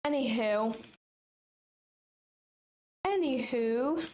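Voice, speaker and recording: Young woman, fairly high voice, casual livestream trader, blunt, sarcastic, sweary. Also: extremely slow, darkish tone. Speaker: futures trading livestreamer.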